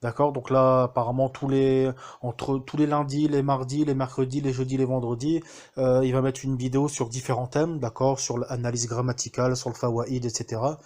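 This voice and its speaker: Un homme français qui témoigne de manière spontanée, en hésitant souvent et en reprenant ses phrases. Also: male voice, mid-range voice, level tone, a little fast, a little mellow. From France. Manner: hesitant, many fillers and restarts. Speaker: student giving a testimonial. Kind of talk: casual spoken testimonial.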